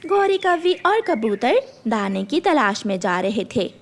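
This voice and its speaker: A woman's voice: on the high side, big pitch swings, unhurried. A woman narrating a scripted children's cartoon story.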